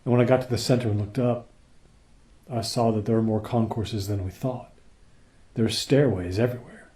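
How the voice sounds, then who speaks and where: Space crewman, male, played by an actor, lowish dark-toned voice, earnest and deferential, measured reports to a superior, radio drama. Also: fairly even intonation, natural voice. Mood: earnest, concerned. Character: dutiful male crewman reporting to his captain. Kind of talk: radio play acting.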